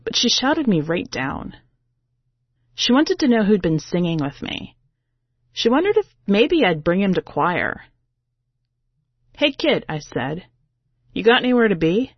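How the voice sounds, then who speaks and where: A woman's voice: on the low side, no room sound, lo-fi sound. A woman reading a first-person story as a podcast host, in a colloquial, streetwise way.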